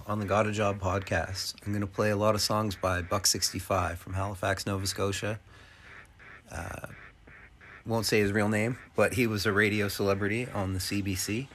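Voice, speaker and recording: A man's voice: fairly low pitch, a bit bright, slight background noise. A man hosting a podcast alone in a casual, rambling, off-the-cuff way. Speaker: podcast host. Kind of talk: casual solo podcast monologue. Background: instrumental hip-hop backing music.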